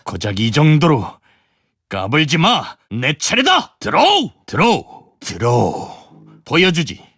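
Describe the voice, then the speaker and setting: Fierce young male duelist for an anime card game, sharp and theatrical, dry recording. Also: fairly high pitch, no room sound, heavily acted. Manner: forceful, theatrical declaiming. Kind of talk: dramatic anime game voice acting.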